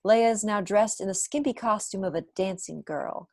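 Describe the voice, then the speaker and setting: A woman's voice: mid-range voice, normal speed, darkish tone, dry recording. Young woman narrating a script, dry sound. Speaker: narrator reading stage directions. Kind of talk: scripted narration at an online table read. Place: small room at home, indoors. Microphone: wired earphones on a video call.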